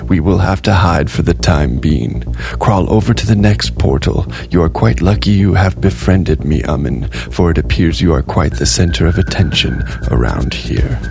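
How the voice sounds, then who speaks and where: A man voicing an ancient male jar spirit who speaks slowly and formally, with a deep commanding tone. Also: expressive, creaky. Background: music.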